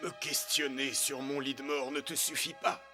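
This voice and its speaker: An actor playing an old, dying Egyptian pharaoh, a stern and disappointed father, in a dubbed animated film. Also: mid-range voice, normal speed, music in the background, natural voice. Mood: weary reproach.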